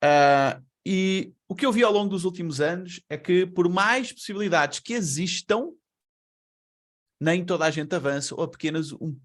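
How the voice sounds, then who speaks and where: A man with a European Portuguese accent, born in Brazil, giving a webinar talk in a conversational way and asking rhetorical questions. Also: on the high side. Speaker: webinar speaker. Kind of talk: presentation to an online audience.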